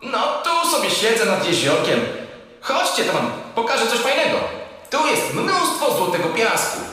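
A friendly young merboy speaks in a high, boyish voice with a plaintive streak. It is children's radio play acting, set in strong echo and long reverb. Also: male voice, moderate pace, heavily acted. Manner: boyish, expressive, uses sound words. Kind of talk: children's audio drama acting. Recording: echoey, reverberant cave effect, faint noise.

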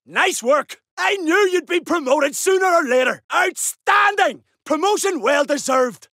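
A man voicing a gruff, battle-hardened soldier in a video game, barking urgent, blunt combat lines. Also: very high voice, lively intonation, a little slow, no room sound, clean studio sound, exaggerated voice. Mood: approving. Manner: urgent, forceful, blunt swearing.

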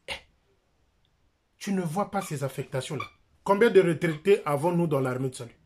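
A man's voice: a little high, darkish tone, husky. Insistent middle-aged Congolese man. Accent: Congolese French. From Republic of the Congo. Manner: insistent, repeats phrases, jabs with his finger. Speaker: church member and critic. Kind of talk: direct-to-camera denunciation. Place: small indoor room. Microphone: phone camera close up.

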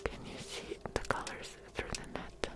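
Young female ASMR artist as examiner: whispered, very unhurried, gentle instructions, close-miked. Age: young adult. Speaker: ASMR host playing an eye examiner. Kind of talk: whispered ASMR medical roleplay. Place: small dim indoor room with curtain. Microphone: close ASMR microphone.

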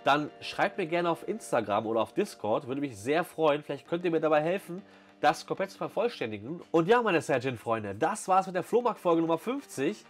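Guy doing excited casual vlog commentary, slightly high voice, music behind him. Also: fairly quick. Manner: casual, repetitive filler phrases, addresses viewers as friends. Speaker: YouTube host, flea market collector. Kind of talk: enthusiastic vlog commentary, showing finds.

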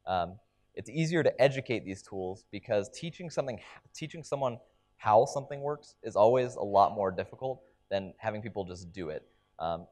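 A man's voice: fairly low pitch, a little mellow. A young man giving a conference keynote on a large stage into a headset mic, explaining ideas with frequent ums and restarts. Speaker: keynote speaker.

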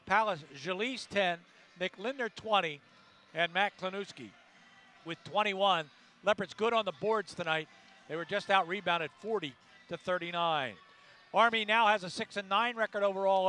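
An older male sports announcer with a high, bright, forceful voice. He rattles off final numbers and upcoming games from a courtside desk in an arena, speaking into a headset mic. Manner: brisk reading of stats and promos. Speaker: play-by-play announcer. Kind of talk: broadcast sports wrap-up.

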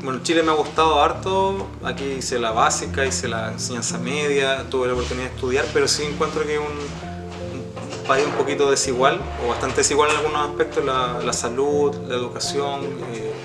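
A man's voice: medium pitch, brisk. A man in his thirties from Argentina, a language teacher, answering casually and repeating words as he searches for them. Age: 30s. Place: room with bookshelves. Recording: roomy sound with loud guitar music.

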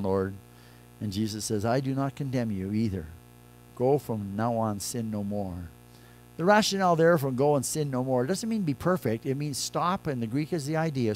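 Older pastor preaching from the pulpit. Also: male voice, medium pitch, poor audio. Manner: conversational preaching, swings between loud emphasis and soft quiet lines. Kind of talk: sermon. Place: church sanctuary at a pulpit.

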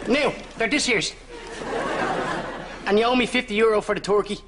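A man acting a high-voiced Irish chancer. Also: fairly even intonation, normal speed, a loud crowd, lightly acted. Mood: defensive. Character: dim, shifty male small-time wheeler-dealer. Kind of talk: studio sitcom acting. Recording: noisy live studio audience sitcom.

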